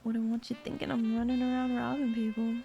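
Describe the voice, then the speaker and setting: Woman acting a high, creaky, flirty con artist. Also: flat delivery, brisk, dark tone, lo-fi sound, faint music behind. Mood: sly, flirtatious. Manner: teasing, sly, flirty. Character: playful, flirtatious female con artist, teasing suspect. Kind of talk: audio drama acting.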